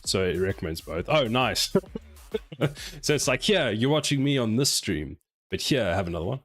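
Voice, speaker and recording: A South African man in his forties streams his game development live, reading viewers' comments aloud and answering in a rambling, self-mocking way. His voice sits fairly low. Soft music plays faintly behind him. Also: big pitch swings, normal speed. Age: 40s. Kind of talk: casual live stream chat while coding.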